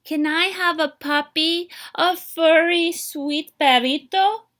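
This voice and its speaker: A woman voicing a spirited, imaginative young girl in a storybook, emphatic and expressive. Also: extremely high pitch, slow pace, voice pushed up, exaggerated voice. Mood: pleading.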